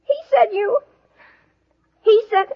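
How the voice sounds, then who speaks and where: A woman's voice: very high-pitched, slow pace. An actress playing a jealous, scolding teenage girl in a 1940s radio comedy, indignant and accusing. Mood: haughty, offended. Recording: tinny, thin vintage radio recording.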